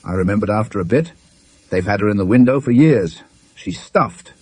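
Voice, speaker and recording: A man voicing a jovial, teasing, unruffled gentleman with chatty exclamations in a ghost story audiobook. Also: on the low side, lively intonation, normal speed, dry recording. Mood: amused.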